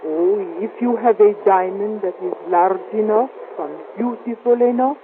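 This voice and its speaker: A woman's voice: mid-range voice, lightly acted. An actress playing a haughty, alluring, wealthy foreign lady in an old-time radio drama, speaking accented English in an imperious, coy way. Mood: imperious, enticing. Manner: imperious, coy, deliberate phrasing. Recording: tinny, hissy old radio recording.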